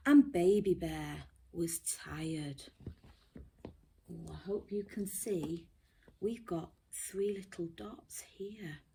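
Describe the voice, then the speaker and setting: A woman reads a storybook to young children at a slow, careful pace. Her voice is slightly husky, and she often sinks to a hushed near-whisper. She is expressive and pauses to put questions to her listeners like a teacher. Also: on the low side. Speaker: primary school teacher reading a storybook.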